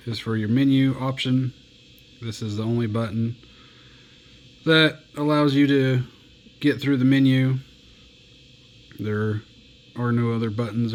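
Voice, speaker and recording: Man, unhurried pace, mid pitch, relaxed plainspoken demo, faint background hiss. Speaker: radio reviewer. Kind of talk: casual hands-on product demo.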